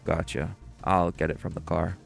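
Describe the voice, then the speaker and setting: A man's voice: sits low, moderate pace, gravelly, loud music behind the voice. A man playing a reserved, hesitant shapeshifter boyfriend with dry humour in a romantic audio roleplay. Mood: dry, agreeable. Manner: subdued, hesitant, deadpan.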